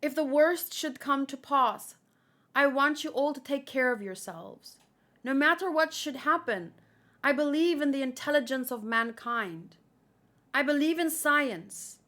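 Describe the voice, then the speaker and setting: A woman voicing an earnest scientist from a manga, solemn and measured. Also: high voice, a bit bright. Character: earnest scientist giving a farewell message.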